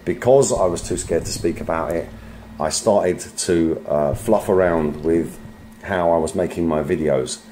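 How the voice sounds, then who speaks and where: A man making an online video, rambling hesitantly to his viewers in a halting monologue. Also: low voice, mellow. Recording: noisy amateur video playback.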